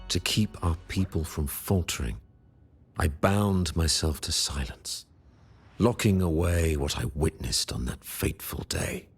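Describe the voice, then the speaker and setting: An actor playing a solemn, pious religious leader in a fantasy video game, speaking in grave, formal, sanctimonious oratory. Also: male voice, sits low, heavily acted. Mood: grave confession. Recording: loud music behind the voice. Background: loud game music.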